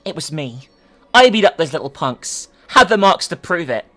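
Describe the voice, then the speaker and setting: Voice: medium pitch, big pitch swings, normal speed, a bit bright. A performer voicing a defiant teenage boy, tough and boastful, taking the blame in a dramatic story reading. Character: defiant, self-sacrificing teenage brother.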